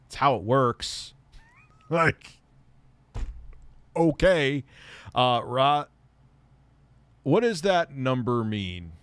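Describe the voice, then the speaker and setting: A man rambles through a casual livestream, answering viewer comments with plenty of swearing. His voice sits at a middling pitch, close to the mic, in a dry room with no echo. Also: extremely slow, pauses often, smooth. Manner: profane, rambling, animated, laughs often. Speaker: tech livestream host.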